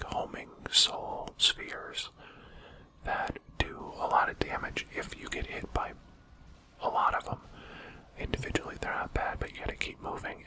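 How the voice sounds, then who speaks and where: A man speaking in a breathy whisper, giving quiet, explanatory commentary on a video game as a channel host. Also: poor audio.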